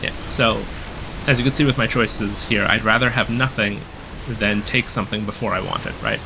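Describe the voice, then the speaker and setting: A man calmly explaining a game strategy while he plays, a little hoarse from a cold, with many filler ums. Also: on the low side, moderate pace, noisy background. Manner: relaxed, explanatory, frequent ums. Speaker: gameplay guide commentator. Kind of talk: casual gameplay commentary.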